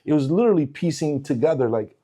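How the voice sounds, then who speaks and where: A man's voice: a little high, expressive, fast talker, mellow, slightly rough. A middle-aged man born in Portugal, a former teacher talking in a casual conversation, emphatic and given to repeating words as he builds a point.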